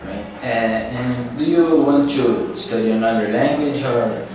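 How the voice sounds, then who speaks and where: A man's voice: mid-range voice, a little slow. Man interviewing in a roomy echo. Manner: simple scripted questions, brief acknowledgements. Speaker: student interviewer. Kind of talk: class project interview. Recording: roomy echo, distant mic, steady noise.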